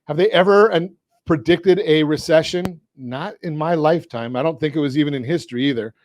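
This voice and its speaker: A man's voice: fairly high pitch, a little fast, dry recording. A man hosting a finance talk radio show, speaking with energy and cracking jokes as he asks his guests leading questions. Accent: Southern Californian. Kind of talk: talk radio with a sponsor read. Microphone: studio mic.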